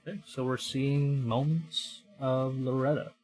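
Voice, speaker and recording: A man's voice: medium pitch, fairly even intonation, a little slow, darkish tone, rough recording. Man dramatically narrating a horror game. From Philippines. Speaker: streamer. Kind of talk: reading a horror visual novel aloud with commentary.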